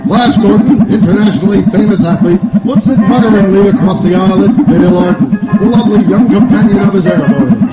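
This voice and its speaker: A man playing a dramatic narrator of a 1930s radio adventure serial. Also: high-pitched. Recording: echoey live stage under loud music.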